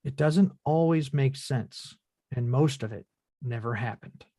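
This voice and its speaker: A man's voice: medium pitch, measured pace, dark tone, husky, no echo, studio quality. A man playing a reflective son in his late twenties in a film script table read.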